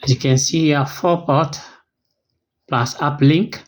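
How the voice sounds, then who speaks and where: An unboxing host giving a casual product walkthrough in short, simple, clipped phrases, at a medium pitch. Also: fairly even intonation, takes it slowly. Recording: noisy, with clicks and crinkling packaging.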